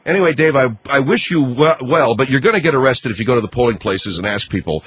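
A male talk radio host with a mid-pitched voice that moves quickly and bounces up and down in pitch. He ribs his callers in a relaxed way and steers the call back on track when it gets heated. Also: slightly rough, no echo, poor audio. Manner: easygoing, ribbing, reins in callers.

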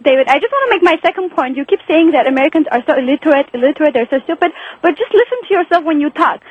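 A woman calls in to a radio show. English is not her first language, and she speaks fast and insistently in a high voice. Her voice comes through a dull, muffled phone line. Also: expressive. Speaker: caller. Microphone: telephone.

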